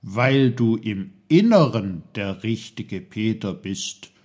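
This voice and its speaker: A tiny magical uncle from a storybook, played by a male reader, wise and kindly. He speaks slowly in a husky voice, stretching his words with emphatic, teacherly care. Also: on the low side, lively intonation, a little mellow, exaggerated voice. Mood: reassuring. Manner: emphatic, drawn-out, avuncular instructing. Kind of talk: German children's storybook character voice.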